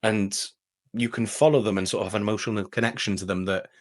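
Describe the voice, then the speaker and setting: A man's voice: on the low side, fairly even intonation, quick pace. A man, a voice actor chatting casually as an interview guest. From Wales.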